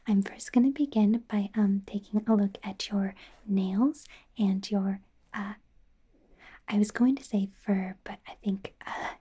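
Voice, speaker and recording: A woman playing a nervous, friendly female werewolf doctor in a whispered ASMR roleplay, hesitant, stammering and apologetic. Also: medium pitch. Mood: friendly, awkward. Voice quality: soft, breathy whisper.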